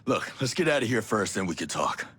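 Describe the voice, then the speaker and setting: An actor playing a calm special forces soldier in a video game cutscene, speaking in a level, firm way. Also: male voice, on the low side, a little fast, breathy edge, heavily acted. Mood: steady.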